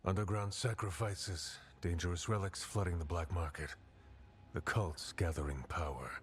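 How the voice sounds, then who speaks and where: An actor playing a secretive rebel leader in a video game, speaking in a measured, serious way. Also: male voice, deep voice, fairly even intonation, lightly acted. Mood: grave. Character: grave, secretive male rebel leader called the Viper. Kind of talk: video game cutscene acting.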